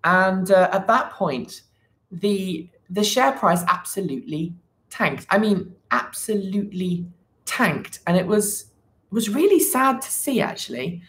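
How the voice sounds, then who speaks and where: A woman's voice: medium pitch, lively intonation, unhurried, fairly bright tone. A woman hosting a casual livestream, talking to viewers with many fillers and restarts. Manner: chats with viewers, reads the chat. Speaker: livestream host.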